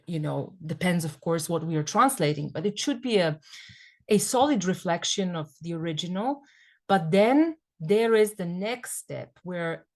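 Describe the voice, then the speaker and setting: Female panel guest, native Serbian speaker, slightly low voice, hesitant and reflective. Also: darkish tone. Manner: thoughtful, hesitant, many fillers. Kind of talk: academic panel discussion.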